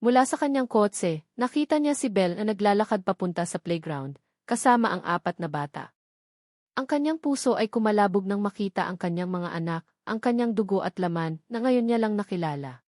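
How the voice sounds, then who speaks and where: A woman's voice: mid-range voice, lively intonation, fairly quick, darkish tone, no echo. Woman narrating drama, dry close sound. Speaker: story narrator. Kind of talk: dramatic scripted narration of a romance story.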